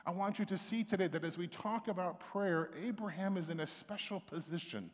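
A man's voice: sits high, a little fast. Middle-aged man, pastor at the pulpit, conversational preacher, lots of restarts, recorded with long church reverb. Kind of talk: sermon. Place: church sanctuary. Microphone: stand mic at pulpit, far camera.

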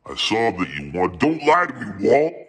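A man's voice: on the low side, very animated, normal speed, a bit bright. A man playing a blunt, accusing tough guy, confrontational and mocking, on a very noisy tape.

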